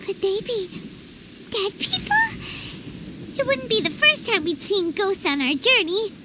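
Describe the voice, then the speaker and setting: A woman voicing a small, excitable, curious fairy girl companion with a squeaky childlike voice in an anime game. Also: a little slow, very noisy, full character voice. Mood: puzzled, uneasy. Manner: exclamatory, refers to herself in third person.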